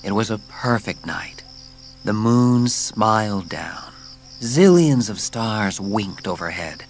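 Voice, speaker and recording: A man narrates a dramatised children's audiobook in a fairly low voice. His storytelling is measured and dramatic. Gentle music sits behind the narration. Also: animated.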